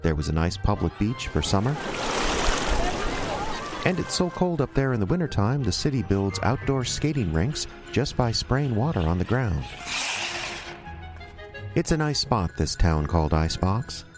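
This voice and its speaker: A man's voice: on the low side, very animated, moderate pace, pauses often. A man acting as a folksy storyteller, narrating an audio drama directly to listeners. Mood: folksy, wistful. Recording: music behind.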